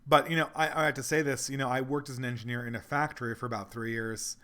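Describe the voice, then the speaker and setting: A man whose voice is going hoarse talks through a livestream in an ordinary middle register. He is earnest and meandering, and he works his thoughts out aloud as he answers viewers. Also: medium pitch, fairly even intonation, fast, sharp tone. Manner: rambling, earnest, thinks aloud. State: losing his voice. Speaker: livestream host reading donations. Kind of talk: casual livestream Q&A.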